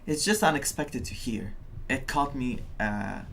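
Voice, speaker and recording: Unhurried non-native English man, game streamer. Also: medium pitch, gravelly, fairly bright tone, a little hiss or hum. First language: not English. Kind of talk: casual live commentary on a game.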